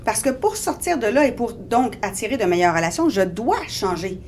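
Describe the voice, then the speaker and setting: A woman's voice: medium pitch, sing-song, normal speed, darkish tone, a little hiss or hum. A woman in her forties with a Québécois French accent, a guest in a radio interview, explaining her experiences in an earnest, reflective way. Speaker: guest author.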